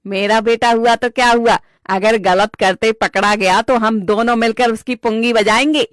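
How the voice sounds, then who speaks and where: A woman's voice: fairly high pitch, fairly even intonation, moderate pace, no room sound, close to an everyday voice. A woman puts on an older village mother-in-law for a cartoon story. She has a rustic North Indian accent and scolds in a blunt, bossy, earthy way. The recording is very dry. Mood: advising.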